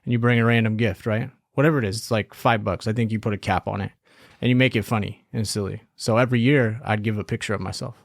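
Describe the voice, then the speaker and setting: A young man from Southern California co-hosting a podcast, relaxed and reflective in casual chat, often saying "like". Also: fairly low pitch, quick pace, creaky, no echo, studio quality. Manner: easygoing, reflective, says "like" often. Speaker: podcast co-host.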